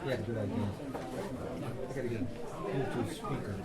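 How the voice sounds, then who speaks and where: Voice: medium pitch, moderate pace. A club quiz maker chatting casually with other people in a large room. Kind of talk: casual chat. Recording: distant voice in very noisy crowded room. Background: loud crowd chatter.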